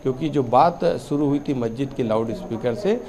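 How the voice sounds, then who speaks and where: A man giving an unscripted live news report in a halting way with many fillers. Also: mid-range voice, a crowd in the background.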